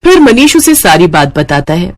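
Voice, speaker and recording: Cartoon moral-tale narrator, scripted read, very animated pitch movement, mid-range voice. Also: moderate pace.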